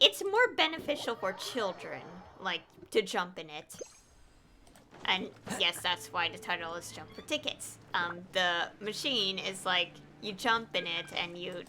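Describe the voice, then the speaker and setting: A woman game streamer chatting casually on a livestream, rambling and telling stories in halting fragments. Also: mid-range voice, bright, forceful tone, a little hiss or hum.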